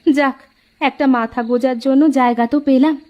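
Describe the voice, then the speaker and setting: A woman voicing a gentle, weary young village wife in a cartoon. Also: sits high, steady tone, brisk, lo-fi sound, natural voice. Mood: relieved.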